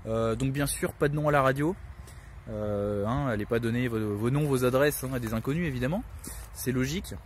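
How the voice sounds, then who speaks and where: Guy rambling from his car, lots of umms, normal pitch, bit of road hum. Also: brisk. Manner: rambling, hesitant, many filler sounds. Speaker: survivalist channel host. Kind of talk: casual announcement vlog. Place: in a vehicle on the road.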